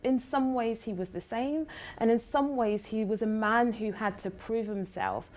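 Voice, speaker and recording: A woman's voice: on the high side, unhurried, a little mellow. A woman with a Scottish accent, a journalist and broadcaster, discussing as a guest on a talk program, thinking aloud in short phrases. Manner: thoughtful, talks in phrases with fillers.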